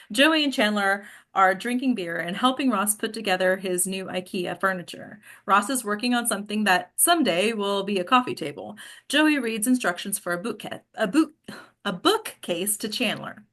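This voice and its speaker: A woman's voice: mid-range voice, lively intonation, normal speed, a bit bright. Female narrator for a table read, delivering screenplay directions in a mid-range voice. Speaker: stage directions reader.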